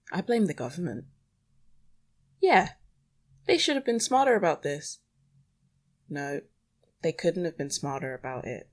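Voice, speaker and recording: A young woman podcasting alone in a rambling, confessional monologue, anxious and self-deprecating. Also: low-pitched. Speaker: podcast host. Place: small bedroom at home. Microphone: small microphone.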